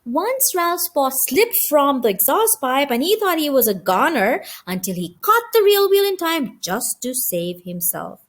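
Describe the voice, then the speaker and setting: Female storyteller for a children's audiobook: high voice, very lively intonation, dramatic emphasis. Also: measured pace, few pauses. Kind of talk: expressive children's story reading.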